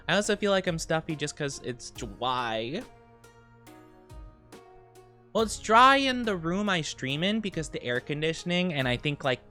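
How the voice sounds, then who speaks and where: A woman chats with her stream audience in a playful, teasing way. Her voice sits on the low side and sounds smooth and clear. Soft music plays faintly in the background of her home streaming room. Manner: playful, teasing, answering chat. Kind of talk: casual livestream chat with viewers.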